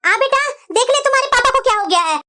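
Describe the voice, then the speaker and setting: Shrill, bossy cartoon mother-in-law acted by a woman. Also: lively intonation, rapid-fire, bright, forceful tone, heavily acted. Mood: irritated. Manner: chattering, dismissive, insistent. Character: possessive, nagging middle-aged mother-in-law doting on her married son. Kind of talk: Hindi family cartoon voice acting.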